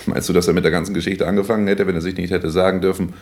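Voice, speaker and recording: An actor playing a brash, theatrical young would-be actor in a German radio play, teasing and declamatory. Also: male voice, deep voice, level tone, racing, darkish tone. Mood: boastful.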